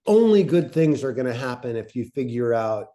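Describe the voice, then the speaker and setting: Mellow-voiced man co-presenting a casual workshop. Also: medium pitch, very animated, fairly quick. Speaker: podcast pitching workshop co-presenter. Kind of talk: casual instructional talk on a video call. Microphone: video call.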